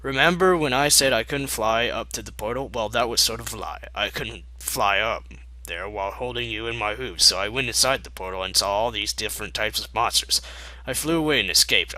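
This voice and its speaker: Man voicing a bright, brash cartoon pegasus girl. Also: on the low side, expressive, fairly quick, dry recording, low-quality recording. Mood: boastful. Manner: chatty, boastful. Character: brash, eager young female cartoon pegasus pony.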